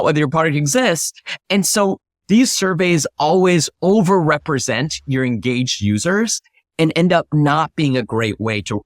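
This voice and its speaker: A man's voice: on the high side, no room sound, clean studio sound. A man giving structured, explanatory answers as an interview guest, stressing his key points. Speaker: interview guest, product leader.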